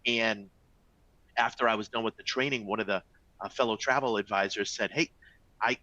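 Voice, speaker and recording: A man's voice: lowish voice, steady tone, normal speed, bright, forceful tone, highs cut off. A middle-aged man with a Boston accent presenting a training webinar, chatty and upbeat, often checking in with "all right?" and "folks". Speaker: travel company executive presenting a webinar.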